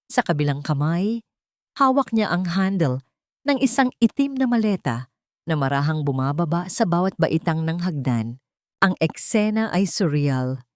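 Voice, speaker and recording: A woman with a low-pitched voice reads a scripted love story as dramatic narration. The recording is dry and close, with no room sound. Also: a little mellow, no echo.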